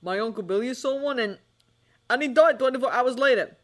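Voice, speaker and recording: A woman voicing an earnest adult man on the phone who talks in a loud, emphatic way. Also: a little high, fairly quick, voice pushed up, lightly acted.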